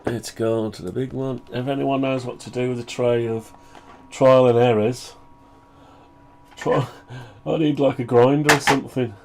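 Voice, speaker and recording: A man talking through a project update on his own software, rambling and thinking aloud, voice groggy from the flu. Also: medium pitch, steady tone, a little slow, a little hiss or hum. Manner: rambling, thinking aloud, frequent throat clearing. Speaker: hobbyist maker showing his own software. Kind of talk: casual screen-share project update.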